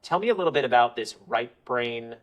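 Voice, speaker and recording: A man's voice: medium pitch, lively intonation, a little fast, rough recording. A man hosting a podcast interview, complimenting his guest and steering the conversation back to the topic.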